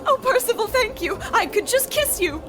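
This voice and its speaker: Giddy wheedling wife, very high voice, swooping delivery, old radio comedy, music underneath. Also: female voice, lively intonation, normal speed. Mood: elated. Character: giddy, wheedling, gambling-tempted wife with quaint theatrical airs. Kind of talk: old-time radio play comedy acting.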